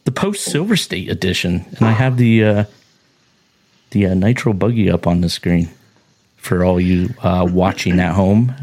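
Deep-voiced podcast host guy, playful, jokes around. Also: animated, normal speed, darkish tone. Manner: joking, playful. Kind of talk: casual chat.

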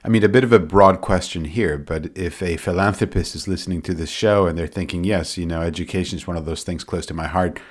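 Male interviewer, deep voice, conversational, hedges and qualifies his questions. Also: steady tone, fast talker, hardly any pauses. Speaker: interview show host.

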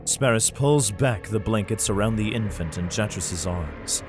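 An actor playing a grim, weary soldier who narrates his ordeal in an audio drama, grave and measured. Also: lowish voice, over music, lightly acted. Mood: strained, grave.

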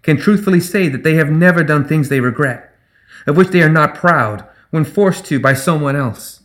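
A calm, proud female resurrectionist from a fantasy audiobook, performed by a man. Her raised voice rings out in measured oratory, with a cold edge beneath it. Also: fairly high pitch, voice pushed up, close to an everyday voice. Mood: persuasive, ringing. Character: proud, calm woman resurrectionist pleading for undead.

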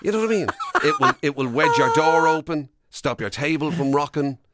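A man's voice: on the high side, a little fast, very noisy. An Irish man co-hosting a radio show with casual, rambling comic banter, teasing and self-deprecating. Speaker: radio co-host. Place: radio studio.

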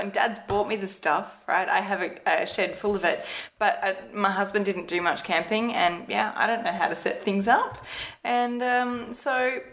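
A woman co-hosting a relaxed podcast chat, laughing easily at herself. Her voice has a gravelly, creaky texture at a middle pitch. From Malaysia. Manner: giggly, self-deprecating, restarts often. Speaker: podcast co-host. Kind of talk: casual chat.

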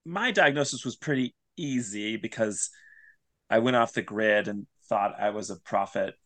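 A man as an interview guest, talking informally and reflectively, halting as he searches for his words. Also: on the low side, a bit bright, no echo. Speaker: podcast guest, writer and audio creator.

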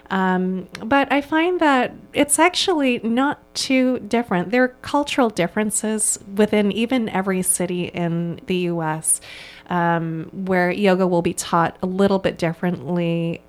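A woman's voice: fairly low pitch, smooth. A woman in her thirties from Hong Kong, a yoga studio owner answering interview questions with eager, earnest enthusiasm and a few ums and restarts.

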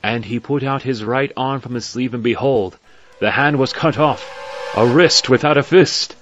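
Man acting a courteous broker, theatrical and mid-pitched. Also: moderate pace, fairly bright tone, lightly acted. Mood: astonished. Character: courteous, curious male broker narrating his story. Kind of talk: theatrical audio drama storytelling in archaic English. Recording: dull lo-fi sound, faint music behind.

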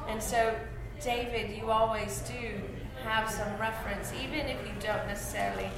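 Animated woman in an echoey room. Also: on the high side, moderate pace, vocal fry, a crowd in the background. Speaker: writer discussing fiction craft. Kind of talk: casual conversation about writing.

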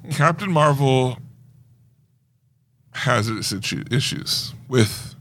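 A man co-hosting a casual podcast discussion of films, talking in an animated, conversational way. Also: mid-range voice, drawn-out words, a little hiss or hum. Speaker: podcast co-host. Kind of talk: casual movie trailer discussion.

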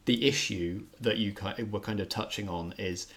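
Male arts show co-host, lowish pitch, conversational, thinks aloud haltingly. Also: a bit bright. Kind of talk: casual film discussion.

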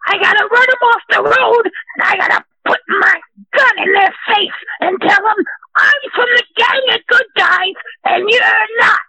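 Shrill whiny vigilante superhero. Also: measured pace, creaky, no echo, exaggerated voice, poor audio. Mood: aggressive. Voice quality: high, shouting, whiny near-crying delivery. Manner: boastful, aggressive, rambling. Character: trigger-happy self-styled vigilante superhero caller. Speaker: caller.

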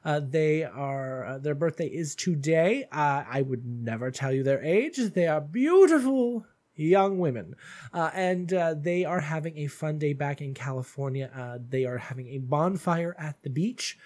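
A man's voice: a little high, animated, unhurried, darkish tone. Stuffy, gushing man hosting a podcast. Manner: gushing and chatty, calls listeners darlings. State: stuffy from allergies. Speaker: podcast host. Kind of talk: casual solo podcast chat.

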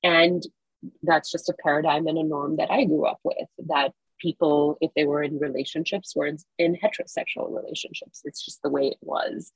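Low gravelly earnest woman from Singapore. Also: steady tone, normal speed, dry recording, rough recording. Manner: earnest, emphatic, encouraging. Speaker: author and consultant, guest. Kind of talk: podcast interview answer.

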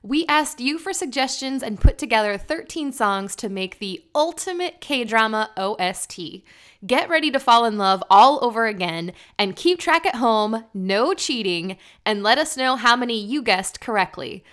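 Brief: upbeat woman podcast host, a touch high-pitched, laughs easily, talks into a close studio mic. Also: animated, moderate pace, a bit bright. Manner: playful, laughs easily, runs the game. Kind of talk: casual podcast chat. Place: small room, sitting at a TV tray. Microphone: studio microphone, seated close.

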